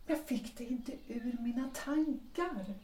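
A woman's voice: fairly high pitch, expressive, warm tone, a little hiss or hum, lightly acted. Woman acting yearning former opera singer, echoey room. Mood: tender, reminiscing. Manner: earnest, romantic, dramatic phrasing. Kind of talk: period stage-play audio drama. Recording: roomy, distant from the mic.

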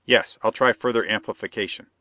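A man voicing a brisk male chief who gives curt orders. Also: on the low side, fairly even intonation, sharp tone, dry recording. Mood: commanding. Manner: curt, commanding.

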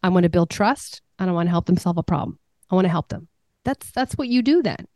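A woman's voice: on the low side, expressive, quick pace, a little mellow, dry recording, clean studio sound. A woman business coach answering interview questions in an earnest, conversational way, often repeating a word as she starts a thought.

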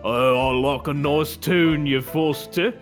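A man playing a pompous, wordy gentleman customer, affectedly polite and florid, in a comedy sketch. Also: on the high side, huge pitch swings, normal speed, faint music behind, pitched above the performer's own voice. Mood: politely insistent. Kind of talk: British sketch comedy reenactment.

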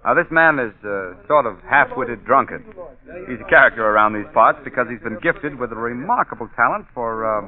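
A man's voice: mid-range voice, lively intonation, fairly quick, natural voice. An actor playing a chatty male bar regular who casually explains the local lore in a 1940s radio drama. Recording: narrow old radio broadcast with a crowd.

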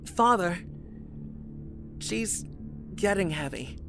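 A woman's voice: mid-range voice, expressive, extremely slow, soft background music. A woman playing an anxious, hopeful young child who misses a parent, in an audio drama. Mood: subdued. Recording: clearly noisy ambience behind voice.

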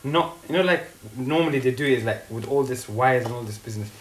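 A man's voice: medium pitch, a little fast. Blunt young man, roomy sound. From Ethiopia. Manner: blunt, teasing, uses street slang. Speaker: podcast co-host. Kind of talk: casual chat. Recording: echoey room with faint noise.

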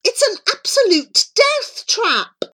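A woman's voice: very high-pitched, wildly swooping pitch, measured pace, bright, forceful tone, pitched above the performer's own voice, full character voice. A woman voicing a nervous young boy in a children's story, reluctant and dramatically emphatic. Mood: alarmed, protesting. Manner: protesting, emphatic.

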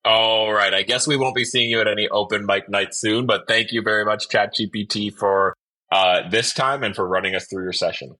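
A man's voice: fairly low pitch, fairly even intonation, moderate pace, pushed and bright, low-quality recording. A man hosting a podcast, interviewing in a conversational way.

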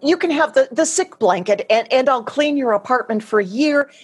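A woman acting in a radio play as a sentimental grown daughter who clings to keepsakes and pleads haltingly. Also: high-pitched, lively intonation, breathy edge. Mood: pleading. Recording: music behind, recorded remotely in one take.

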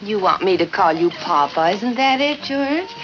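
A woman's voice: mid-range voice, animated, moderate pace, natural voice. An actress playing a sarcastic wife who mocks her meek husband, in 1950s film noir style. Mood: mocking. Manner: mocking, cutting, sweetly sarcastic. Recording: dull old film soundtrack with score. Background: film music.

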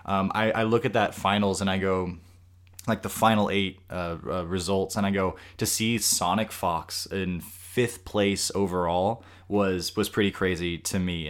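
A man's voice: low voice, clear, resonant tone. A man co-hosting a gaming podcast, chatting casually and thinking aloud with frequent restarts. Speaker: podcast co-host.

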